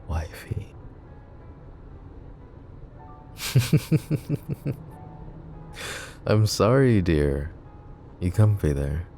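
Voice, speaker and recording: A man voicing a flirty, possessive and protective mafia boss in an ASMR roleplay, teasing and soft-spoken. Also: low voice. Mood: tender teasing.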